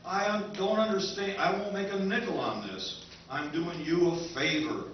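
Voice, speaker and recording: A man's voice: sits high, normal speed, a little hiss or hum, lightly acted. A man playing a pushy, persuasive interstellar junk dealer in an old-time radio drama, with emphatic salesman patter. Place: convention stage. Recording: very echoey, dull, distant stage recording.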